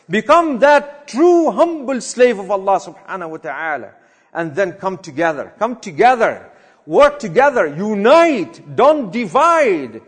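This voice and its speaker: A man delivering a Friday sermon, chanting Arabic verses quickly and then translating, swinging between loud urging and quiet reflection. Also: sits high. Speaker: preacher giving a Friday sermon. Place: mosque prayer hall. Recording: long hall reverb.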